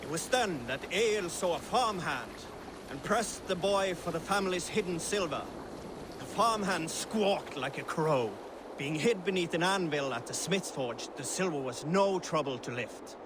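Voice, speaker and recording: An actor playing a boisterous Viking crewman in a video game, telling a raiding tale theatrically over loud water and boat noise. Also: fairly high pitch, expressive, normal speed, natural voice. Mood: storytelling, grim relish. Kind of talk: theatrical fireside-style storytelling.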